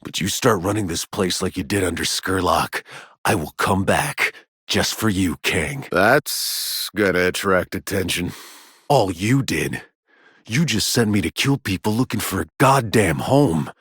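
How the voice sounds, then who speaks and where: A man voicing a gruff, battle-weary soldier in a video game, sardonic and hard-bitten, with terse delivery. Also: sits low, animated, a little slow, fairly bright tone, no room sound, clean studio sound, exaggerated voice. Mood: dry, determined. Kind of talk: scripted game dialogue.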